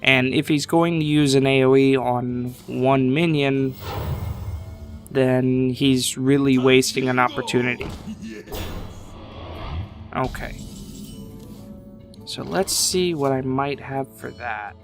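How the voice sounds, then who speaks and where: A man commentating his card game match casually, thinking aloud at an unhurried pace, his voice a little congested from a sinus infection. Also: medium pitch, steady tone, music in the background.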